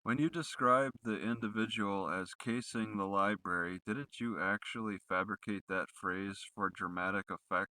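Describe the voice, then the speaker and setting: A man's voice: fairly low pitch, fairly even intonation, moderate pace, hardly any pauses, bright, forceful tone, dry recording, poor audio. A man putting accusatory, leading questions in the style of a rehearsed cross-examination.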